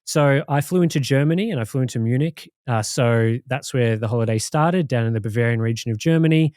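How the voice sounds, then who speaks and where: An Australian man co-hosting a podcast, recounting things in a rambling, relaxed way with many fillers. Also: mid-range voice, brisk, no echo, studio quality. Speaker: podcast co-host. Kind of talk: casual chat.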